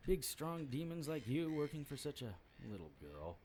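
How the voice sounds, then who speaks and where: A man's voice: a little high, sing-song, moderate pace, darkish tone. A man playing a wisecracking, defiant private investigator in an audio drama, with a cocky, sardonic delivery. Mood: defiant taunting. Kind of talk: audio drama acting with first-person noir narration.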